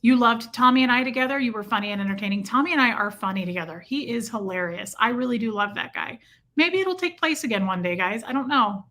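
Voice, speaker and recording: A woman's voice: a little high, expressive, quick pace, a bit bright. A woman hosting a casual livestream from home, chatting and reacting to viewer comments. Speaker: livestream host reading chat. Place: home room with a heater.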